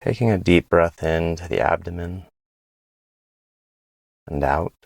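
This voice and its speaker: A man leading a guided body scan meditation in a gentle, unhurried way, pausing between phrases. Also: low-pitched, creaky, dry recording.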